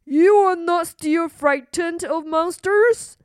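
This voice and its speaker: A woman's voice: very high-pitched, slow, no echo, clean studio sound, voice pushed up. A woman voicing a patient but firm storybook king and father, emphatic and reassuring. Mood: exasperated, reassuring.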